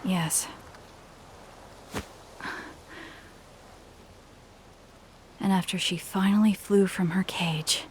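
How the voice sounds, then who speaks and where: A woman's voice: medium pitch, slight background noise, close to an everyday voice. An actress playing a guarded, wry young woman thief in a video game. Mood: hushed shock.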